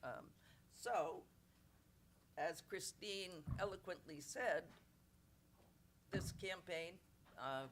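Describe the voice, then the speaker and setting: A woman's voice: fairly low pitch, drawn-out words, pauses often, a little hiss or hum. A woman making blunt, emphatic remarks at a press conference, with self-deprecating asides.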